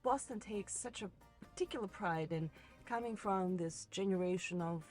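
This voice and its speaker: A woman talks casually as a rideshare passenger, restarting her sentences and peppering them with agreement. Her voice sits in a middle pitch. Faint music plays underneath the car conversation. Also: moderate pace, a little mellow. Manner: chatty, with frequent restarts and many "right"s. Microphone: car interior mic.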